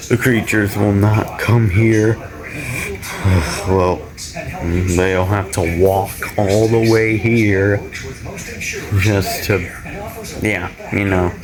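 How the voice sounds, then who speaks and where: Worried everyday guy man acting, really slow halting talk, crowd din behind him. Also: on the low side, rough recording. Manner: halting, casual. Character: worried male resident, casual and reassuring. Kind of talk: amateur analog horror drama dialogue.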